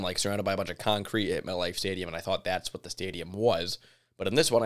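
A man hosting a casual solo podcast, chatty and excitable, his voice a little raspy from yelling. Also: on the low side. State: slightly raspy from yelling at a game. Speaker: podcast host. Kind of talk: solo sports podcast talk.

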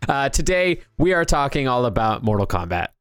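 A man's voice: mid-range voice, sing-song, brisk, fairly bright tone, smooth, no room sound, faint music behind. An American man co-hosting a podcast in casual chat, with a hesitant, backtracking way of talking. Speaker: podcast co-host and producer.

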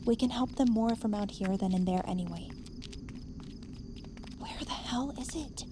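A fairly high voice, snippy and exasperated, with clear ambient noise behind it. It is a bossy, sarcastic young woman on the run acted by a woman in an audio drama. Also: moderate pace, natural voice. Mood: dry, irritated. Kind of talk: naturalistic audio drama acting.

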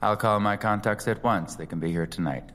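Man playing shrewd appraiser, very low voice, measured, dry, audio drama, long reverb. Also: a bit bright, a little hiss or hum, close to an everyday voice. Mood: measured intrigue. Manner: dry, professional, deliberate. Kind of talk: dramatic audio fiction acting.

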